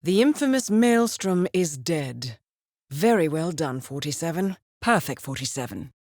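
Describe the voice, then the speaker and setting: An actress with a British accent playing a composed, dryly wry intelligence handler briefing an assassin in a video game, poised and measured. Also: female voice, low-pitched, sing-song, darkish tone, no echo, exaggerated voice. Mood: composed, businesslike. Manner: poised, measured, dry understatement.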